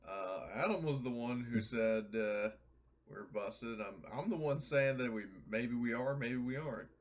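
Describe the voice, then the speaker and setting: A man's voice: medium pitch, measured pace. A man playing a tabletop roleplaying game, talking casually in and out of character.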